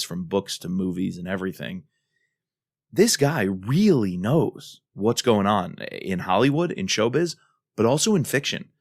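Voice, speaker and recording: A man's voice: sits low, creaky, no room sound, clean studio sound. A man co-hosting a podcast in a casual, enthusiastic and emphatic way. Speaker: podcast co-host.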